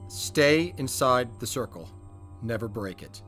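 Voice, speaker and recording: A man plays a young occult ringleader in a horror audio drama, solemn and portentous. His voice is bright and intense, declaiming slowly as if summoning spirits. It rings out in a long reverb over music. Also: medium pitch, animated. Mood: intent, grave. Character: young man Chris, solemn seance leader calling spirits. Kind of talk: dramatic chanting, incantation.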